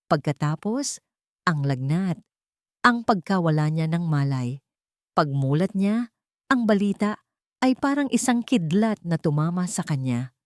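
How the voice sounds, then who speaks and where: A woman with a Filipino accent narrates a dramatic audiobook story in measured, expressive short phrases. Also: fairly low pitch, no echo, studio quality.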